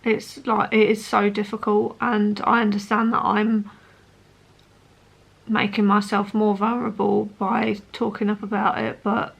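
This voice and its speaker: A woman in her late twenties talking personally to camera as a vlogger, in an earnest, hesitant, halting way. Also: mid-range voice, little pitch movement, creaky, husky. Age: late 20s.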